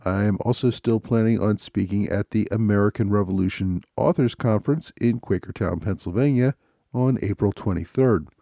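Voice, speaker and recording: Low, mellow-voiced male podcast host. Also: normal speed, creaky, no echo. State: slightly scratchy from a cold. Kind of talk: solo podcast talk.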